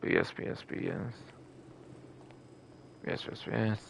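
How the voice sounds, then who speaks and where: A man's voice: moderate pace, slight background noise. A man giving casual live game stream commentary in a rambling, repetitive way full of filler words, with some casual swearing.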